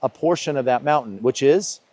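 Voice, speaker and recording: A man's voice: medium pitch, a little fast, a little mellow, dry recording. Friendly American male interviewer. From United States. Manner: friendly, leading questions, talks over answers. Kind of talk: interview conversation.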